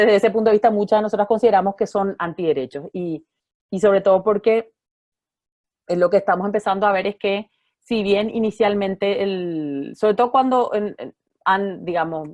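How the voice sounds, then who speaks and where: A woman, a lawyer, giving an informal online lecture while still hoarse from losing her voice, speaking thoughtfully with many fillers and restarts. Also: medium pitch, a little mellow, no room sound. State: hoarse, recovering from voice loss. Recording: dull, dry video call audio.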